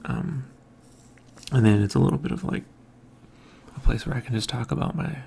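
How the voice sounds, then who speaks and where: A man hosting his own podcast, talking casually and softly in a quiet, mumbling way from a home room with an overhead mic. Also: mid-range voice, normal speed, creaky, breathy edge. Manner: quiet, soft-spoken, mumbling. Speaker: podcast host. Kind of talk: casual morning monologue. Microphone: hanging overhead mic.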